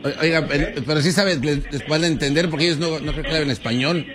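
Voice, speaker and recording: A man's voice: fairly high pitch, fast, a loud crowd. A man with a Mexican accent, a radio sidekick chiming in with casual comic banter. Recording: loud music behind.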